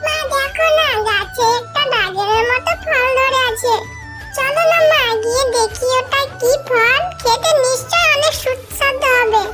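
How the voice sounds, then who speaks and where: A woman's voice: very high voice, measured pace. A woman voicing a childlike, plaintive little jackal daughter in a cartoon, pleading as she talks. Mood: eager, amazed. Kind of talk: cartoon voice acting. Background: loud music.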